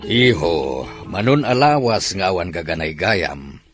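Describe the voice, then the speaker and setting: A man's voice: sits low, sing-song, unhurried, over music. Man playing a grave fatherly counselor. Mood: counseling. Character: older father counseling his son.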